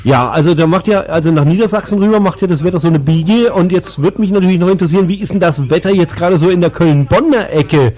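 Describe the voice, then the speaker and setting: A man's voice: sits high, fast, hardly any pauses, darkish tone. A man hosting a casual radio chat, teasing and exclamatory, often ending on tag questions. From Bavaria, Germany. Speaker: radio show host.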